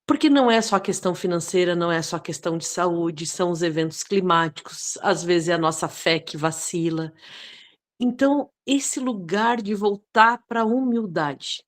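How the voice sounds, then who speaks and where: A woman's voice: lowish voice, normal speed. A Brazilian woman giving a reflective spoken contribution in a spiritual group discussion, often adding tag questions. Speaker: study group participant.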